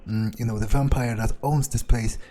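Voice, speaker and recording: A man playing a wary vampire in a video game, thinking aloud in a halting, muttering way. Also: medium pitch, steady tone, mellow, natural voice. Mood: musing, wary. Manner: halting, musing, muttering with hums. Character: wary male vampire muttering his thoughts while exploring. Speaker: gameplay streamer playing a character. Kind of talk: in-character roleplay commentary, thinking aloud.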